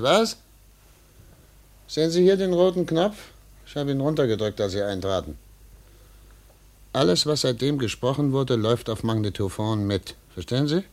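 An actor playing a stern, impatient investigator in a German radio drama, questioning in a commanding, clipped way. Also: male voice, mid-range voice, natural voice. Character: stern, impatient male investigator interrogating a suspect.

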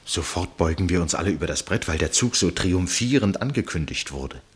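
An actor playing an absorbed first-person narrator in a literary radio play, measured and suspenseful, with deliberate pauses and a husky voice. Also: male voice, low-pitched, expressive. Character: absorbed male first-person narrator watching a tense match.

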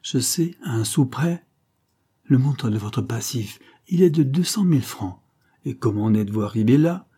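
A man's voice: medium pitch, lively intonation, normal speed, slightly rough, voice pushed up. A man voicing a stern older aristocrat who scornfully accuses his nephew. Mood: stern accusing. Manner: forceful, indignant reproach.

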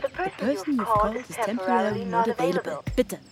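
High-voiced, brusque young woman backpacker played by an actress. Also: expressive, fast talker, over loud music, lightly acted. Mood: annoyed. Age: young adult. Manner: slangy, brusque. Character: impatient, foul-mouthed young woman backpacker. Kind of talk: naturalistic radio drama dialogue.

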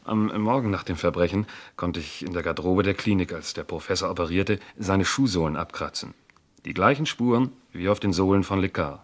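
A diligent police inspector in a radio crime play. His voice is low, and he itemises things precisely, in a matter-of-fact, methodical manner. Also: fairly quick. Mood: dutiful, precise. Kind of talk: German radio crime drama acting.

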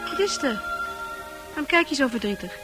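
An actress playing a forward, coaxing woman who is a bar regular in a Dutch radio drama, familiar and expressive. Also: high-pitched, a little fast. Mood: flirtatious. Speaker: actor in a radio play. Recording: music playing behind.

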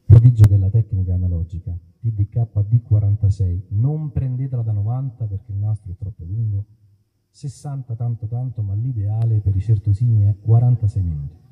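A man's voice: lowish voice, brisk. A man hosting a live outdoor show in an olive grove, talking to the crowd with wry, mock-solemn stage patter. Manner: wry, mock-solemn, playfully self-interrupting. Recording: husky voice over a tinny, phone-like line.

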